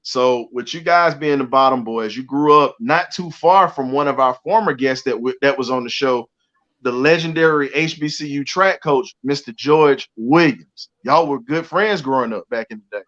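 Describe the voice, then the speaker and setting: A man's voice: mid-range voice, fairly bright tone. A middle-aged man hosts a sports talk show over a video call, speaking with eager energy and frequent restarts. His voice sits in a middle range, and the call audio sounds somewhat dull and lo-fi through his headset. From United States. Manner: enthusiastic, talks fast with fillers and restarts. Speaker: show host.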